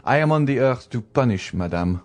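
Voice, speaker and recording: A man's voice: mid-range voice, animated, normal speed, voice pushed up, lightly acted. A man playing a stern, merciless magistrate condemning his wife in a cold, commanding, oratorical way in a dramatic story reading.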